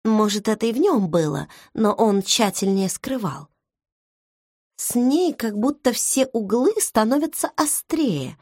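A woman reading a novel aloud in the first person, measured and intimate, like inner monologue. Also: fairly high pitch, sing-song, darkish tone, dry recording. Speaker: audiobook narrator. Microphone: close studio mic. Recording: dry studio recording.

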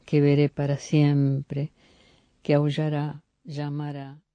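A woman reciting a poem in a flat, measured way. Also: deep voice, no room sound, dull audio. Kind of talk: poetry reading.

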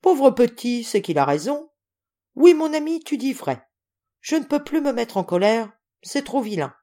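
A woman voicing a gruff, hot-tempered but kindly old general in a storybook, blustering and imperious. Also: fairly high pitch, expressive, normal speed, darkish tone, voice pushed up, close to an everyday voice. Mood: softened, kindly. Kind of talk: acted storybook reading.

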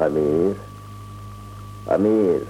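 A man's voice: deep voice, extremely slow, lo-fi sound. An actor playing an aging, remorseful emperor and father in a radio play, grave, regal and given to sighing. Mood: brooding. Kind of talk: radio play acting, solemn. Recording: radio play with faint music.

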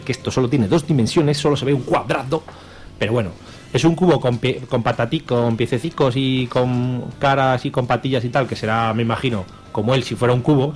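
A man with a Spanish accent from Spain co-hosts a radio show, reviewing and bantering in a casual, jokey, colloquial and irreverent way. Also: mid-range voice. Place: radio studio.

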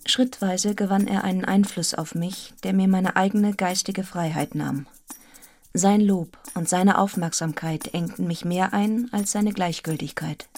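An actress playing a serious, restrained young governess in a radio drama, telling her story in a measured, articulate, introspective way. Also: on the low side, steady tone, darkish tone. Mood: reflective, grave. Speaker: actor in a radio play. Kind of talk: literary radio drama narration and dialogue.

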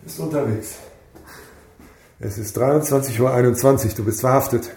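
An actor playing a brusque, impatient police detective in a radio crime drama, curt and dry. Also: male voice, medium pitch, normal speed, a little mellow, close to an everyday voice. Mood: brusque, urgent. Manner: curt, matter-of-fact.

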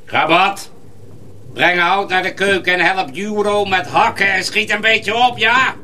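A harsh master miller in a radio drama. His high voice is forceful and sharp, barking and commanding. He is heard at a distance from the microphone. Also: fairly even intonation, unhurried, a little hiss or hum, full character voice. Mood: impatient command.

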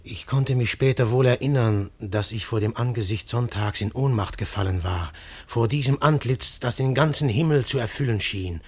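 An actor narrating in first person as a man waking from a dream, slow, hushed and reflective, in an old radio play. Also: medium pitch, husky, lightly acted. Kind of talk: 1950s radio drama narration.

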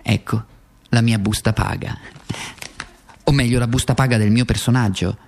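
An actor playing a bitterly sarcastic, worn-out communist wage earner in a theatrical, ironic political radio monologue. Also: male voice, mid-range voice, fairly even intonation, vocal fry, darkish tone, slight background noise, lightly acted. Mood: mock-cheerful, bitter. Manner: theatrical, ironic, swinging from deliberate to frantic.

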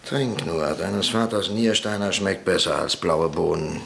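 A man's voice: deep voice, measured pace, a bit bright, full character voice. An actor in a radio play voicing an aging, bitter professor and father who speaks sardonically. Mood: sardonic. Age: older. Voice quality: gravelly, sardonic.

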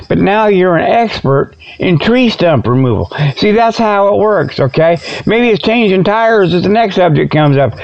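Folksy rambling man, gravelly creak. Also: on the high side, highs cut off. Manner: folksy, colloquial grammar, digressive. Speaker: host of a comedy show. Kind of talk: rambling, tongue-in-cheek monologue.

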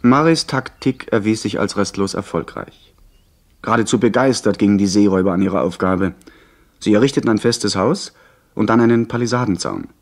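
Actor as lowish-voiced sea adventure narrator. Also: male voice, fairly quick, natural voice. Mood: composed. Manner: composed, literary storytelling. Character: male narrator recounting his pirate sea adventure. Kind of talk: scripted first-person radio play narration.

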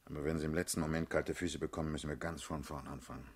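Radio drama lead: brusque, paternal political boss, world-weary, very deep rough husky voice. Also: male voice, fairly even intonation, fast talker. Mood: wearily brooding. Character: world-weary, commanding male party leader, blunt and sardonic. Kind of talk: German radio drama acting.

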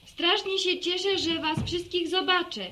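An actress in a radio serial playing an earnest young village woman who reads aloud carefully and haltingly. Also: very high voice, fairly even intonation, a crowd in the background. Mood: warm, eager. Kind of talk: Polish radio serial acting.

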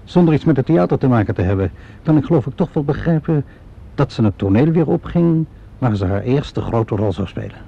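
Police inspector, gravelly, calm, probing, measured and polite, radio play, muffled old recording. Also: male voice, medium pitch. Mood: measured, persistent. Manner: measured, polite, methodical questioning. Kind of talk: Dutch radio play acting.

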